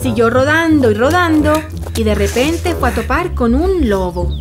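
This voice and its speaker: A woman's voice: sits high, expressive, normal speed, over music. A woman narrating a children's fairy tale as a scripted storyteller.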